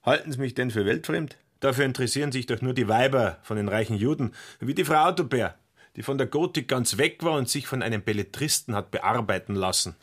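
A man's voice: mid-range voice, lively intonation, a little fast, fairly bright tone, husky. An actor playing a self-important, money-minded car salesman in a radio play, opinionated and blustering. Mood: smug, grumbling.

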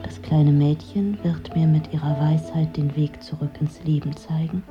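A woman's voice: deep voice, fairly even intonation, normal speed, dull audio, music in the background, close to an everyday voice. An actress playing a disillusioned, sardonic middle-aged woman, delivering a dry, brooding literary monologue in a radio drama. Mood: wry, sardonic.

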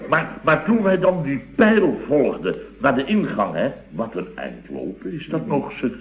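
A man's voice: medium pitch, huge pitch swings, moderate pace. An actor playing an indignant, blustering building-firm boss in a radio comedy, exclaiming and repeating himself as he grumbles. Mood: puzzled, blustering. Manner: exclamatory, repetitive, grumbling storyteller. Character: blustering, indignant male construction-company boss recounting weekend mishaps. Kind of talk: scripted radio comedy acting. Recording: dull, roomy old radio recording.

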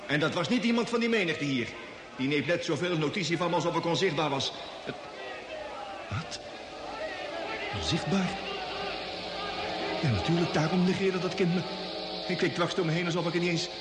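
A man playing an excitable, bewildered young space traveller in an old radio drama, stammering and indignant. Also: fairly high pitch, normal speed, long pauses, over loud music, lightly acted. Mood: agitated, alarmed. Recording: echoey, noisy old radio play recording.

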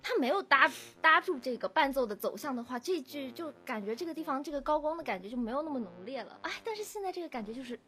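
A woman's voice: high-pitched, expressive, fast, few pauses, pushed and bright. A woman from an audio drama team in a casual group chat, insistently repeating short phrases.

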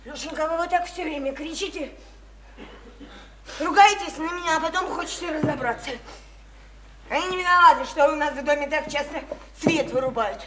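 A woman's voice: sits high, moderate pace, reverberant, natural voice. An actress playing a simple-hearted, devoted woman near thirty in a radio play, imploring and naively repeating herself. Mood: meekly explaining. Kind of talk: theatrical radio play acting. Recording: faintly noisy 1991 radio play recording.

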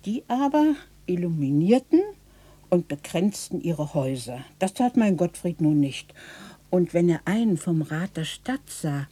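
A woman's voice: on the low side, very animated, mellow, breathy edge, heavily acted. An actress playing an old widowed townswoman telling of her stubborn husband in a folksy, vivid way in a German radio play. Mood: folksy, reminiscing. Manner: folksy, unhurried, vivid oral storytelling.

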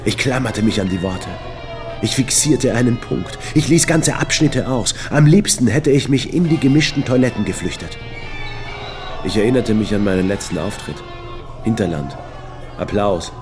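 An actor playing a weary male writer with a gravelly voice, narrating his misadventures in a literary radio drama. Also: fairly low pitch, expressive, moderate pace, close to an everyday voice. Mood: intense, defiant. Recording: music behind the voice.

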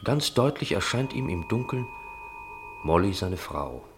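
Man, low voice, narrator, scripted literary radio-play narration. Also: very animated, measured pace, music in the background.

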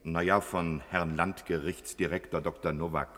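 An actor playing a naive, chatty junior court constable in a German radio play, with blunt colloquial talk. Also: male voice, deep voice, level tone, normal speed, a bit bright. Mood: casual, sheepish. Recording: long reverberant hall.

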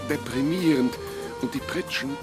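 An actor playing a smitten macho lover in a kitschy radio drama romance, languishing and full of flattery. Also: male voice, mid-range voice, normal speed, close to an everyday voice. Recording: radio drama over loud music.

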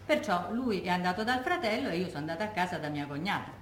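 Echoey actress as Roman widow recalling wartime. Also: female voice, on the low side, lively intonation, fast talker, a little hiss or hum, close to an everyday voice. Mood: anxious recollection. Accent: Roman Italian. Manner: colloquial, vivid, re-enacting remembered dialogue. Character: agitated working-class Roman widow recalling wartime tragedy. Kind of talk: theatrical monologue as oral testimony. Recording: echoey room.

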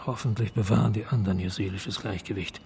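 An actor playing a sceptical, curt, authoritative man with a husky voice in a German radio crime drama. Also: fairly low pitch, steady tone, quick pace, a little mellow, slight background noise. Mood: sceptical, stern.

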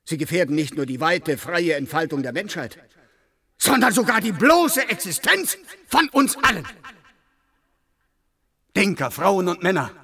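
Zealous, formal male official, higher-voiced. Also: fairly bright tone, full character voice. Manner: formal, precise, declamatory. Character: zealous, irritable male research official in dystopian science fiction. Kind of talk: German radio play acting.

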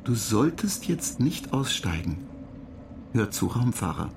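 Pedantic ship computer, gravelly, detached, terse, radio drama. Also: male voice, on the low side, sing-song, slow, slight background noise, exaggerated voice. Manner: dry, lecturing, terse.

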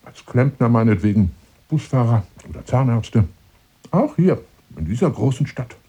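An actor playing a pompous, lonely hunter seducing a woman in a radio drama monologue, grandiloquent and insinuating, moving between hushed and declaimed phrases. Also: mid-range voice, big pitch swings, moderate pace, breathy edge, heavily acted. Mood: self-satisfied.

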